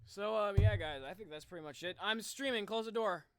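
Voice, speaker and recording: Higher-pitched guy chatting casually on stream. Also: brisk, clean tone, no echo. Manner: thinking aloud, slangy, addresses viewers. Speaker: streamer, video host. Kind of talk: casual livestream reaction chat.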